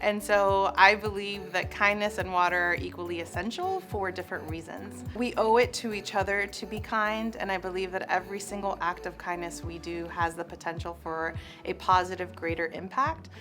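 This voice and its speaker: A woman giving a reflective interview-style answer, pausing as she builds her thoughts. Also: mid-range voice, steady tone. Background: music.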